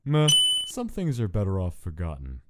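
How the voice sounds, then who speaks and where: A man's voice: low voice, wildly swooping pitch, normal speed, very noisy. A man voicing a laid-back, joking male skeleton who teases in a drawling, casual way. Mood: teasing. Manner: drawling, teasing casual speech. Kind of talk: fan comic dub.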